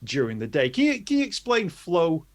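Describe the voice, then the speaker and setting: A man's voice: on the high side, wildly swooping pitch, moderate pace. A man hosting an interview, asking long questions that ramble with many restarts. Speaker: podcast host. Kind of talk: interview talk.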